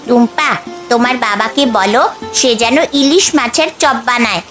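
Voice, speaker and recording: A woman's voice: a little high, normal speed, fairly bright tone, lightly acted. A woman voicing a kindly, advising magical bird in a Bengali cartoon story. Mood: helpful. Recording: background music over the voice.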